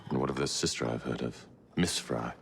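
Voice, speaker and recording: An English actor playing a haughty, menacing Victorian industrial tycoon in a video game, speaking in pompous, deliberate oratory. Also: male voice, extremely low pitch, gravelly, breathy edge, heavily acted. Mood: sinister curiosity. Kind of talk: dramatic video game acting. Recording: reverberant hall sound.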